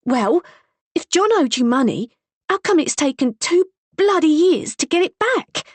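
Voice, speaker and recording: A woman voicing a fierce, sharp-tongued young working-class wife who swears as she scolds. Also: sits high, sing-song, a little slow, no room sound, pitched above the performer's own voice. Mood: angry.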